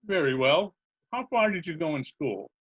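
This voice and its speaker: A man's voice: on the high side, animated, normal speed, husky. A man playing a genial, scatterbrained butcher in old-time radio comedy style, chatty, earnest and politely literal-minded. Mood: chatty, obliging. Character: genial scatterbrained male butcher who muddles every question. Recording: dull remote video-call recording.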